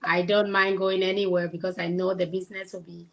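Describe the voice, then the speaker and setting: A woman's voice: lowish voice, little pitch movement, fairly quick, fairly bright tone. A woman with a Cameroonian accent chatting casually as the guest in an interview. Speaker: interview guest, kindergarten teacher.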